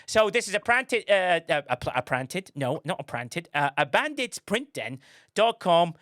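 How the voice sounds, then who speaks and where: A man's voice: sits high, sing-song, normal speed, a bit bright, no room sound, studio quality. A man hosting a livestream, chatting casually in a rambling way and often correcting himself as he goes. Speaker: livestream host.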